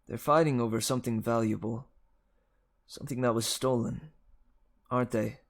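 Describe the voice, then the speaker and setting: Man reading as an earnest, gravelly boy hero. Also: mid-range voice, fairly even intonation, moderate pace, close to an everyday voice. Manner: hesitant, earnest. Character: uneasy, candid young boy hero. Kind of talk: dramatic children's audiobook reading.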